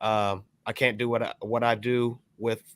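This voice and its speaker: A man's voice: medium pitch, flat delivery, moderate pace, fairly bright tone, no room sound, clean studio sound. A man chatting as a panelist on a podcast, wordy and affirming, often repeating short phrases. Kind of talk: casual panel chat.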